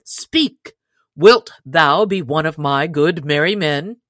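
A woman's voice: low voice, takes it slowly, fairly bright tone, no room sound, clean studio sound. A woman voicing a jolly, bold male outlaw leader who speaks in hearty, commanding old-fashioned speech.

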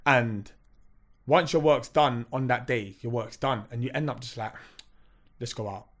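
A man's voice: mid-range voice, measured pace. A young man, chatting in a laid-back, slangy way as a podcast guest. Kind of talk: casual chat.

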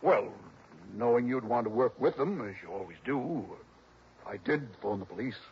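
An actor playing a mild, apologetic associate of a detective in an old radio drama, hesitant and very raspy. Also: male voice, mid-range voice, animated, moderate pace. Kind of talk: 1950s-60s radio drama acting. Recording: dull, hissy old radio recording.

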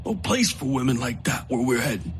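A man voicing a big, wounded, menacing traveler who talks with cold persuasion in a dark fiction story. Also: medium pitch, big pitch swings, brisk, a little hiss or hum, lightly acted. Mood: cold, urging.

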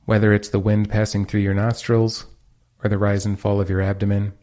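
A man leading a guided meditation as its teacher, giving calm, unhurried instructions. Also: on the low side.

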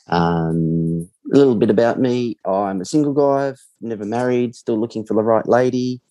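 A man in his forties, a podcast guest talking about himself in a relaxed, reflective way with frequent pauses. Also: on the low side, no room sound. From Australia. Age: 40s. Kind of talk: casual interview chat.

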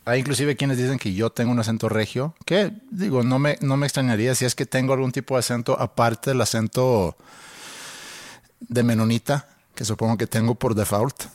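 A man's voice: medium pitch, brisk, no echo. Man co-hosting a podcast, Monterrey accent with a foreign edge, hesitant, dry close sound. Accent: Monterrey Spanish with a foreign touch. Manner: hesitant, self-conscious, repeats words. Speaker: podcast co-host. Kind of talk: casual podcast chat.

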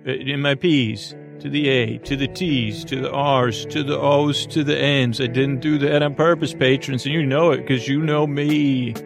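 A man with a creaky voice hosts a bedtime sleep podcast, meandering in a halting, self-interrupting way with drawn-out, soothing words. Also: mid-range voice, steady tone, over music. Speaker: podcast host.

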